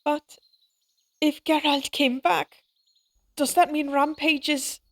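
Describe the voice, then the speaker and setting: A woman's voice: sits high, little pitch movement, slow. A woman acting in an audio roleplay as an anxious, tender young mother who speaks hesitantly and wistfully. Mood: relieved, surprised.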